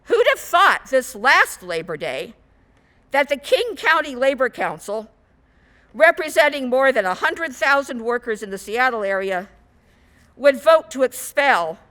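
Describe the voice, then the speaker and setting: A woman minister giving a sermon in measured, rhetorical short phrases. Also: sits high, fairly bright tone. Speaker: guest minister.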